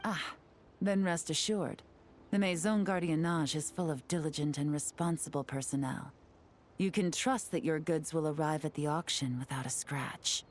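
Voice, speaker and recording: A woman playing a cool, confident and shrewd intelligence agent in a game, speaking in a composed, assured way. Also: low voice. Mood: smoothly reassuring. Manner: composed, assured, measured explaining. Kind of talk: game character dialogue. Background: faint music.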